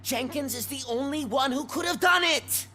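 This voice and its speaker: Cornered suspect in a game, high bright voice, urgent and defensive, slight noise. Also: female voice, moderate pace. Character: frantic, pleading woman pimp accused of murder. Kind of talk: video game cutscene acting.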